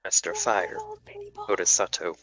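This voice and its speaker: A secretive school principal, played by a man in an amateur table read. He is formal and reserved, with a sharp, bright voice. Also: low voice, wildly swooping pitch, measured pace, heavily acted. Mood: guarded.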